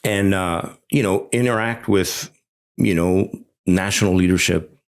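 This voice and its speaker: Middle-aged veteran guest with a very low voice, talks candidly with lots of restarts. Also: male voice, a little slow. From United States. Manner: candid storyteller, frequent restarts and asides. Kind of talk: casual conversational interview.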